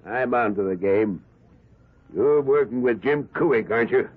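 A man playing a suspicious, cold-blooded gunman in a 1970s radio drama, with a husky voice. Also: medium pitch, unhurried. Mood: cold menace. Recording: narrow, muffled old radio recording.

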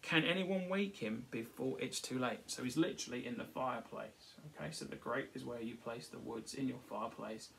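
A man in his thirties or forties reading a picture book aloud to children, pausing to explain it simply. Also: medium pitch, vocal fry, fairly bright tone, slight background noise, low-quality recording. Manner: frequently checks with "okay", explanatory. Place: small furnished room at home. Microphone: device camera at about arm's length.

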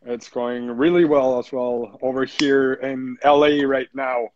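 A man from Mexico City, a brand co-founder speaking casually in an interview, relaxed and repeating words as he searches for them. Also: mid-range voice, unhurried, slight background noise. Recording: dull dry lo-fi audio room call.

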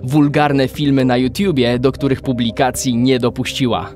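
A man's voice: medium pitch, steady tone, normal speed, darkish tone, soft background music. A man narrating a children's radio play in scripted storytelling style.

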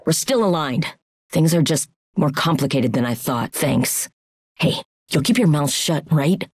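A woman's voice: sits low, animated, measured pace, a little mellow, husky, full character voice. An actress playing a wary, determined female fighter pilot in a video game. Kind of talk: video game character voice lines.

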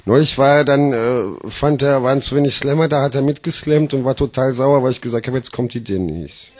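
A man's voice: mid-range voice, steady tone, fairly quick. A man performing comic slam poetry live on stage into a microphone, with a theatrical, emphatic delivery.

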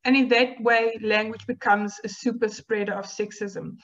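A woman whose first language is Afrikaans, giving a university lecture in a measured, explanatory way with rhetorical questions. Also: a little high, steady tone, fairly bright tone. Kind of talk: academic lecture over slides. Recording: dull lo-fi online lecture audio.

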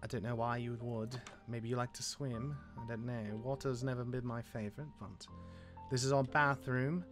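A man playing a sardonic, awkward immortal demigod older brother, sarcastic and halting, in a fantasy game roleplay. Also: mid-range voice, steady tone, music in the background, close to an everyday voice. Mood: awkward, kindly. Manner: sarcastic, halting when flustered.

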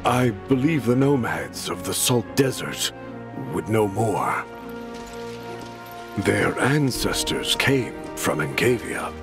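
Slow, thoughtful monastery sage. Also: male voice, on the low side, sing-song, exaggerated voice. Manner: measured, thoughtful. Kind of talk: video game character acting. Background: music.

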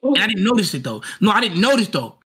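A man's voice: high voice, big pitch swings, fast talker, fairly bright tone, breathy edge. A young man streaming from a large room in a house, chatting casually and laughing as he rambles through his questions. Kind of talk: casual chat.

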